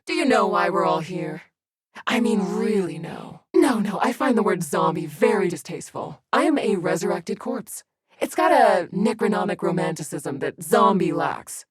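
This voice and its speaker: An actress voicing an undead woman warrior in a game, cold and menacing with dry, deadpan humor and a husky voice. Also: mid-range voice. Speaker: video game character. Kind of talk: game voice lines.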